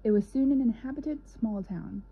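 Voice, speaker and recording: A woman's voice: fairly high pitch, normal speed, breathy edge. A young woman, a student narrator, reading a scripted history piece. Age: young adult. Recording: dull lo-fi recording.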